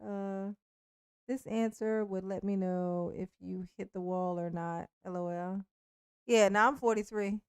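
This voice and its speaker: A woman's voice: medium pitch, fairly even intonation, unhurried, a little mellow, clean tone, no room sound, studio quality. A woman in her forties chatting casually on a live stream, speaking bluntly and profanely with frequent restarts and laughs. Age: 40s.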